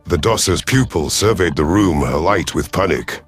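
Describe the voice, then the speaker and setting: Man, deep growling voice, audio story narrator, brooding alien warlord character, faint music underneath. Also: lively intonation, normal speed, slightly rough. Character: brooding, ruthless but conflicted male reptilian alien commander. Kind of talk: first-person scripted sci-fi narration in character.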